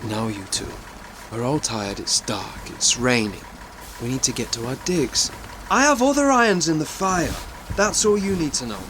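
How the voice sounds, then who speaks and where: Man as an exasperated, animated theatre manager. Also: medium pitch, normal speed, noisy background, lightly acted. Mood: weary, exasperated. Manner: insistent, persuasive. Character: earnest, exasperated male theatre business manager. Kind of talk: audio drama acting.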